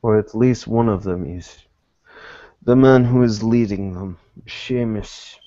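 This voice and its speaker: A man playing a formal, guarded private investigator in a tabletop roleplaying game, speaking in measured, deliberate phrases. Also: fairly low pitch, warm tone, highs cut off. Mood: guarded, matter-of-fact.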